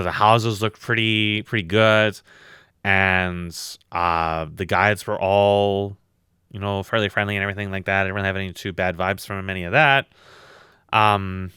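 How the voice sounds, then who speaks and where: A tired man with a loud voice, co-hosting a podcast in a blunt, rambling, swearing chat. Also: fairly low pitch, clear, resonant tone, dry recording, clean studio sound. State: very tired from lack of sleep. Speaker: podcast co-host.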